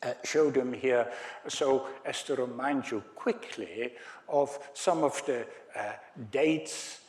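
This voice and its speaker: A man's voice: mid-range voice, slow pace, a little mellow. A man with a Dutch accent giving a conference lecture, hesitant and full of fillers, with wry asides to the audience. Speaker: academic speaker.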